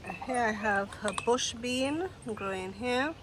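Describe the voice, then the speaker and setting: Woman vlogger, nasal from a cold, slow and easygoing; outdoor take with clear birdsong behind. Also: on the high side, background noise. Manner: slow, unhurried, pointing out plants one by one. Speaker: gardening vlogger. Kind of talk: casual garden tour narration. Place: outdoor garden. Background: birds singing.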